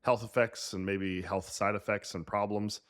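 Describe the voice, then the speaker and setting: A middle-aged man hosting a health podcast in relaxed, teasing casual chat. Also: fairly low pitch, normal speed. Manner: relaxed, teasing, easy laughs. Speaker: podcast host.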